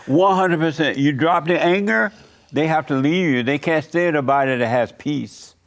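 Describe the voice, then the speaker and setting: A man's voice: on the high side, normal speed. A man hosting an interview and giving preacher-like counsel in a blunt, assured and insistent way, repeating his points. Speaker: talk show host.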